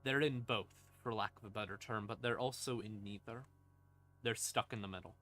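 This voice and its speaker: A man streaming an informal lore explainer as a storyteller, with a theatrical, halting delivery and dramatic pauses. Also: on the low side, a bit bright. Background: eerie ambient music.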